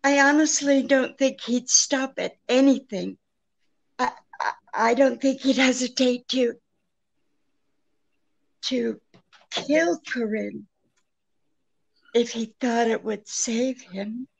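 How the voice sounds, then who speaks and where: Woman acting nervous pleading lady in a radio play, halting, highish voice, dull lo-fi sound. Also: creaky, full character voice. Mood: fearful, pleading. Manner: hesitant, halting, breathlessly earnest. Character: Miss Wonderly, anxious, pleading, genteel female client. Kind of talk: old-time radio drama acting.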